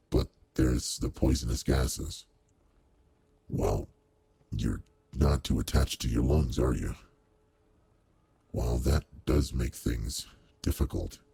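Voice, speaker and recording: A man voicing a suave, teasing aristocratic demon lord in an intimate roleplay audio drama, with a deep, gravelly, husky voice and a leisurely manner. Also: mellow, heavily acted. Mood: relishing, teasing.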